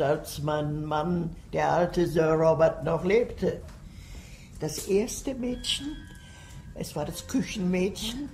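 A woman's voice: fairly low pitch, dark tone, slight background noise. An actress playing a sinister, domineering old aristocratic lady in a German radio thriller, savoring grim details.

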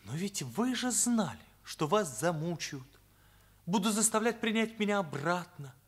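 A troubled, high-voiced teenage schoolboy. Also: male voice, sing-song, measured pace, husky, full character voice. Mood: challenging. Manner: earnest, searching, hesitant. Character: troubled, sincere ninth-grade schoolboy, questioning and vulnerable. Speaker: actor in a radio play. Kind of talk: Soviet radio drama acting.

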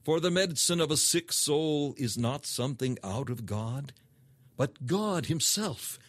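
A man reading a scripted sermon as audiobook narration in a formal, measured, emphatic manner. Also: fairly high pitch, animated, no room sound. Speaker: sermon reader.

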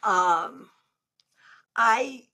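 An older woman with a loud, good speaking voice, chatting animatedly as a guest over a video call. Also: fairly high pitch, extremely slow. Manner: animated, gestures a lot while talking. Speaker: tarot reader guest. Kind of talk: casual interview chat. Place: home room on a video call.

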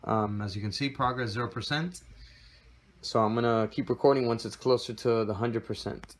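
A man's voice: mid-range voice, moderate pace. Man, tech how-to host, easygoing with plenty of "uh"s, phone-recorded with faint background noise. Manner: relaxed, step-by-step with frequent fillers. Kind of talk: casual screen-recorded tutorial. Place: indoor room with desk and computer.

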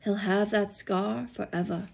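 A wise old storybook wizard, as a woman reader puts him on. He sounds calm and gently resolute, with a creaky edge to his words. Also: mid-range voice, a little slow. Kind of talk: storybook character reading.

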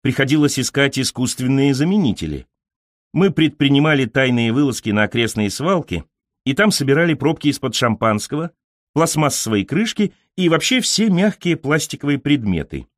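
A man narrates literary fiction in the first person. His delivery is measured and expressive, with intonation that moves widely up and down at a medium pitch. The close microphone gives a very dry sound with no room echo. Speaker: audiobook narrator.

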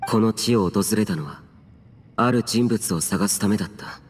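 A man's voice: fairly low pitch, moderate pace, a little hiss or hum, natural voice. A man acting in a Japanese game as a stern, aloof, youthful immortal warrior who speaks tersely and solemnly in archaic words. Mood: grave. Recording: long reverb, faint music behind.

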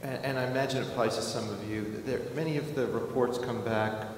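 A man moderating a medical panel, briskly calling on questions from the floor. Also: mid-range voice, steady tone. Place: large conference ballroom. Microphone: podium microphone. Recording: far-off echoey hall, faint noise.

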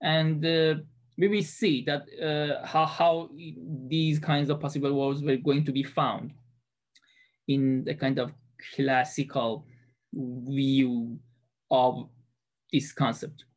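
Persian-accented man giving a halting presentation. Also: medium pitch, little pitch movement. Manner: hesitant, many fillers and restarts. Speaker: student presenter. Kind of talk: class presentation lecture.

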